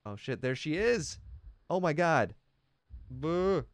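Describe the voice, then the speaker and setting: A man hosting a gaming video, reacting out loud to a visual novel with exclamations and swearing. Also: fairly high pitch, sing-song, slow, no room sound. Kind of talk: gameplay commentary, reading visual novel dialogue aloud.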